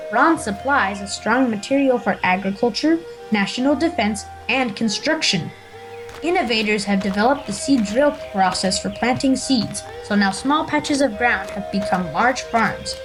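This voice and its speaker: A dignified emperor played by a woman speaks with earnest enthusiasm and a formal, declamatory air. The voice sits fairly high for an audio drama ruler, with music clearly playing behind it. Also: lightly acted, rough recording. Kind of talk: audio play acting.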